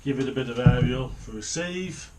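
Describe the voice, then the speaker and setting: A middle-aged man talks through a hands-on job at an ordinary, medium pitch. His delivery is casual and unscripted, sometimes muttered to himself. The indoor recording has faint noise and small clicks. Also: moderate pace, darkish tone. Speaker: hobbyist presenter of a radio repair video. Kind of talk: casual hands-on demonstration commentary. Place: small home office, indoors.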